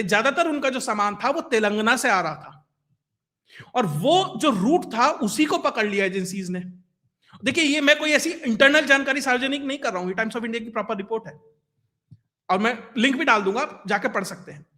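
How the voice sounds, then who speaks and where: A man's voice: extremely high pitch, expressive, fairly quick, pushed and bright. A man giving conversational, explanatory news commentary, emphatic, with rhetorical questions and lists. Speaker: commentator and host of an online current affairs show.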